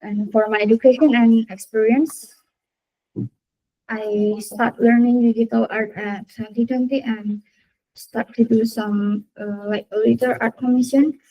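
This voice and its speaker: A woman's voice: fairly high pitch, fairly even intonation, measured pace, dark tone, rough recording. A young woman, a university student, presenting her art portfolio to her class in a careful, hesitant way with many fillers. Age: young adult.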